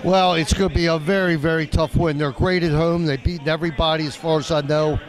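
A man's voice: on the high side, fairly even intonation, quick pace. A man in his sixties co-hosting casual sports talk on a handheld mic at an arena. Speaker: sports show co-host. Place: on the court of a large indoor arena. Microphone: handheld microphone. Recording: crowd noise of a basketball arena.

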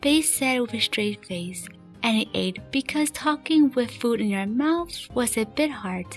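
A woman's voice: a little high, animated, measured pace. A woman reading scripted story narration as the narrator of a fan radio play. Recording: faint music behind. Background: faint background music.

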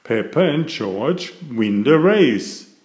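Slow, expressive man reading a picture book. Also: medium pitch, roomy sound. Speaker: picture book reader and author. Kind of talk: slow read-aloud storytelling for young children.

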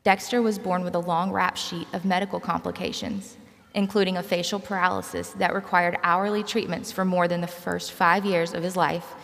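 Low-voiced woman in a reverberant hall. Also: gravelly. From South Carolina, United States. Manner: measured, scripted personal storytelling. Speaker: graduate student commencement speaker. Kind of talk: prepared commencement speech. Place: large auditorium stage. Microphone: podium gooseneck microphone. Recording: reverberant large hall, podium microphone.

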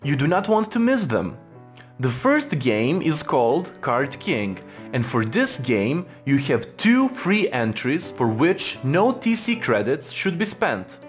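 A man reading a scripted instructional narration in a formal, measured manner. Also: a little high, sing-song, faint music behind. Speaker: tutorial narrator. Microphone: voice-over for screen recording.

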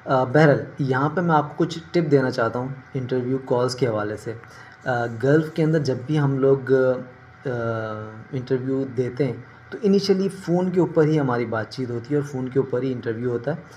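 A middle-aged man explains things informally to the camera at a medium pitch. He speaks in measured phrases with pauses, picked up by a phone in a small room with faint ambient noise behind him. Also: darkish tone, dull audio. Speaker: career advice vlogger. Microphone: phone at arm's length. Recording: noticeable ambient background noise.

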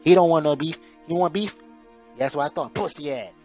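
A man's voice: a little high, fairly quick, music in the background. A brash, foul-mouthed man doing slangy comic voice-over dubs, recorded on a phone app.

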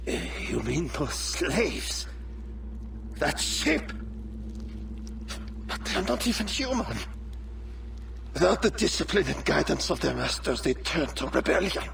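An actor playing a cruel, wounded colonial governor in a video game, scornful, with gravelly, labored speech. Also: male voice, a little high, sing-song, takes it slowly, pauses often, heavily acted. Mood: dying scorn. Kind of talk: cinematic video game acting. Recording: noisy background.

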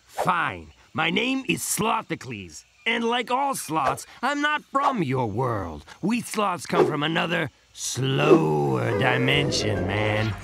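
A man's voice: fairly high pitch, huge pitch swings, slow pace, vocal fry, background noise, heavily acted. A man voicing a lazy, doom-saying slacker sloth in a cartoon, with laid-back, sighing talk that keeps tagging on "man". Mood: weary, explaining. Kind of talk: animated cartoon acting.

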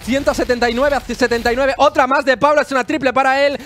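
A man with a very high-pitched voice commentates a live esports match at a fast clip. His accent is from Spain, and he calls each play as it unfolds. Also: level tone, a bit bright, a little hiss or hum. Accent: Spanish (Spain).

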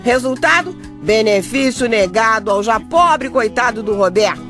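Actress for bricklayer's wife, radio drama narrator. Brazilian, highish voice, gossipy and wry, over a music bed. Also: female voice, animated, moderate pace, natural voice. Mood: wry storytelling. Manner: wry, conversational, addressing listeners. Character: chatty, exasperated bricklayer's wife telling the tale. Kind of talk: radio soap opera narration. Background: music under the voice.

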